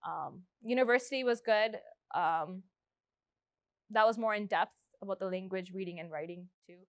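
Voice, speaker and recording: A woman's voice: medium pitch, moderate pace, dry recording. A woman in her twenties from Canada, whose first language is Punjabi, talking chattily in an oral history interview with many fillers and restarts. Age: 20s. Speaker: interviewee, schoolteacher.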